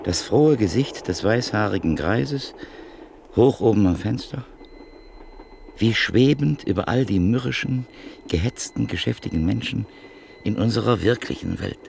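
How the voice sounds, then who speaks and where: Deep, gravelly reflective male narrator. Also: animated, measured pace, a little mellow, slight background noise. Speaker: narrator and listener in a radio play. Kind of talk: 1950s radio drama acting.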